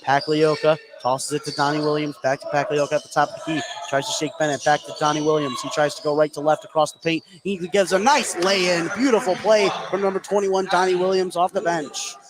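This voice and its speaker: A man's voice: on the high side, fairly even intonation, brisk, few pauses. A man doing live radio play-by-play of a basketball game, running through the action in the present tense and lifting his voice on big plays. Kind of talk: live radio sports commentary. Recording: clear background noise, live gym ambience. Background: ambient noise of a basketball game.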